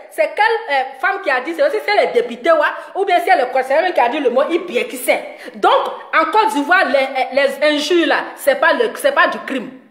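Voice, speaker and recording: A high-voiced woman delivers a rambling, scolding commentary. She mocks her target and laughs often between jabs. Her voice rings in a roomy, echoing space. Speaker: online commentator. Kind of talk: ranting commentary video.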